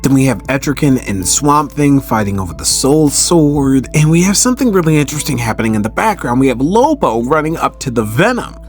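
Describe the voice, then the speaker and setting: A man hosting a recap in casual commentary, telling the story in an animated, conversational way. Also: mid-range voice, a little fast, hardly any pauses, faint music behind. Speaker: host of a comic book recap channel.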